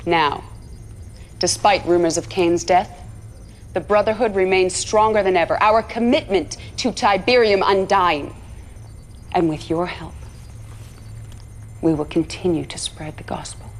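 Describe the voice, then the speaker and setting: An actress playing a zealous propagandist for a militant cult, narrating a game cutscene in an earnest, measured way. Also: female voice, fairly low pitch, natural voice. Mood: resolute.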